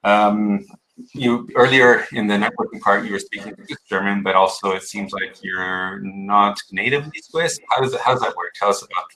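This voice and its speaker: A man in his forties hosting an interview over a video call, asking questions in a relaxed, hesitant way with many ums. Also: fairly low pitch, moderate pace. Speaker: interview host. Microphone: video call webcam. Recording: tinny phone-like line.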